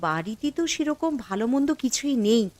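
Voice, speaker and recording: A woman's voice: high-pitched, big pitch swings, fairly quick, darkish tone. A woman voicing an insistent, nagging young village wife in a moral cartoon. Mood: nagging, impatient. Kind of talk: Bengali moral cartoon dubbing.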